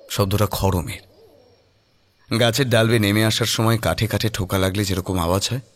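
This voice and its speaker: Lowish male horror story narrator.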